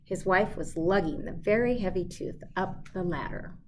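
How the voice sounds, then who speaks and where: A woman giving an expressive read-aloud of a children's storybook. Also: fairly low pitch, moderate pace, slight background noise.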